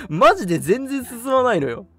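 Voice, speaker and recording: A radio host chatting casually and telling stories in an animated, emphatic, colloquial way. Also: medium pitch, slightly rough. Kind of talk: casual chat, storytelling. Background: background music.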